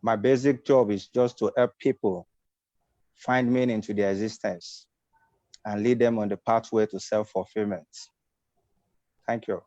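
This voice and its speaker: Brief: man, fairly low voice, measured and concise, on dry, dull call audio. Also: steady tone, dark tone, no echo. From Nigeria. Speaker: life coach and author. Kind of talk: self-introduction on a video call.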